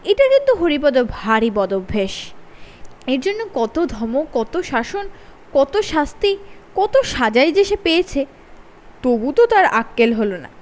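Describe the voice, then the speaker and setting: A woman reading a funny children's story aloud as host of her own channel, with expressive, sing-song emphasis. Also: sits high, a little slow.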